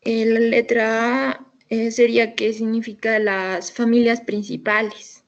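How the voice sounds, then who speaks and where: A woman's voice: a little high, flat delivery, a little slow. A young woman, a student giving hesitant answers over dull lo-fi audio.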